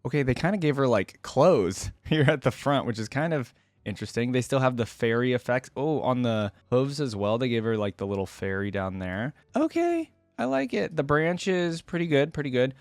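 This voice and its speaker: A man's voice: mid-range voice, big pitch swings, normal speed, no room sound. A man giving casual, enthusiastic reaction commentary as a video game fan on his channel.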